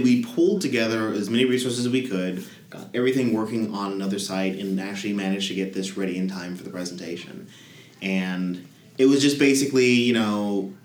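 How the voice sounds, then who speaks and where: A man's voice: fairly low pitch, roomy sound, a little hiss or hum. A man, a guest, telling at length and with enthusiasm about his team's hackathon project in a casual podcast chat. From Denver area.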